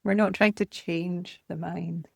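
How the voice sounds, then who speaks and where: Female meditation guide: lower register, gravelly edge, calm and unhurried phrasing, gentle instruction. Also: no room sound. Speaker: meditation teacher. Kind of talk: guided body scan meditation.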